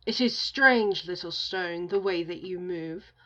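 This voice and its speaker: Woman voicing a suspicious ogre, quietly probing. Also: fairly low pitch, measured pace, voice pushed down. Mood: curious, suspicious. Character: suspicious, greedy giant male oni ogre. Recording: slightly dull, muffled audio.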